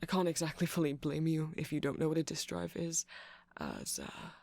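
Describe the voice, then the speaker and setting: A man's voice: a little high, wildly swooping pitch, normal speed, creaky, darkish tone, slight background noise, heavily acted. A man playing a nerdy, awkward, affectionate vampire, rambling hesitantly in an ASMR roleplay. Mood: earnest, rambling.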